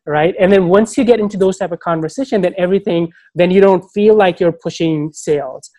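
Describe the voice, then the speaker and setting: Halting man talking, dry sound. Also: high-pitched, mellow, no echo. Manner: thoughtful, halting, restarts often. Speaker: podcast guest. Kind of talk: casual conversation.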